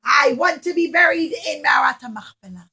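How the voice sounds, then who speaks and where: A woman voicing an indignant, entitled older brother in a children's Bible story, declaring loudly and slowly. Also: high-pitched, expressive, full character voice. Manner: loud, slow, emphatic declarations.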